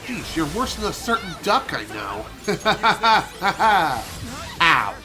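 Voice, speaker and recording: A man streaming a video game, chatting casually and rambling with self-amused asides, his voice a little hoarse from allergies. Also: high-pitched, very animated, very slow, poor audio. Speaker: gaming streamer. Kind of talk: casual let's play commentary. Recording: game music behind voice. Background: game music.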